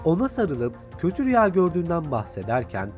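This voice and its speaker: A man's voice: fairly high pitch, huge pitch swings, brisk, a little mellow. A man reading scripted recap and review narration as a video narrator. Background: background music.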